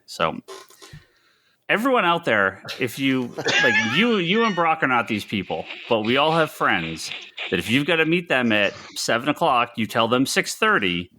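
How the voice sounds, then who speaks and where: An American man co-hosting a casual discussion of industry news, often halting and repeating phrases. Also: mid-range voice, big pitch swings, background noise. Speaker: podcast co-host.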